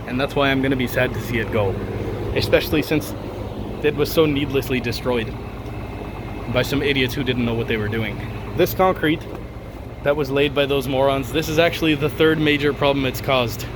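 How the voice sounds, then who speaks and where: A man with a cold-roughened, hoarse voice talks through a casual backyard walkthrough. He grumbles and rants at careless work with a middling pitch. The outdoor recording carries clear background noise and a machine hum. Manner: grumbling, ranting about contractors. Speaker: video host. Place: outdoors in a backyard beside an air conditioner.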